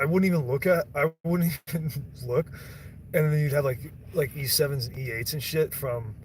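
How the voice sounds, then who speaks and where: A man's voice: medium pitch, slight background noise. A young man on a stream, rambling and swearing in casual chat, often breaking off and restarting his sentences. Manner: rambling, profane, self-interrupting.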